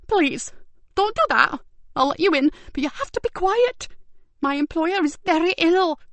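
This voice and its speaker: A woman doing a shrill, frightened carer. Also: moderate pace, gravelly, dry recording. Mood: pleading. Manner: shrill, pleading, snappish. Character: frightened, defensive middle-aged female carer.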